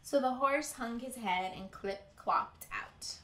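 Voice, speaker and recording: A young woman reads a children's story in an emphatic, expressive teacher's way. Her voice is bright and unhurried. The room adds some echo around her. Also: a little high, slight background noise. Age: young adult. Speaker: teacher reading aloud to children. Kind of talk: expressive picture-book read-aloud. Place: indoor room with bookshelves. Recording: roomy indoor echo.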